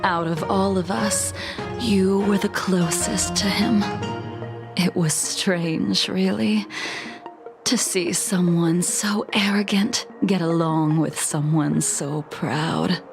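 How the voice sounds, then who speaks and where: Composed ageless swordswoman, slow delivery, creaky edge, quietly taunting, video game drama, music behind. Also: on the low side, steady tone. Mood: solemn, pressing. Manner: slow, measured, softly teasing.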